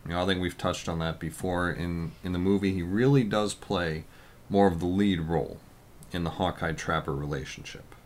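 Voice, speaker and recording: Loud deep-voiced man rambling on a podcast. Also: moderate pace. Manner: loud, rambling with many fillers. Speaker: podcast co-host. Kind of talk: casual podcast chat.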